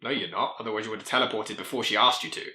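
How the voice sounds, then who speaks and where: A man's voice: lowish voice, steady tone, very fast, reverberant, noisy background. A man delivering a scathing comedic review, sounding sarcastic, exasperated and foul-mouthed. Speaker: host, reviewer.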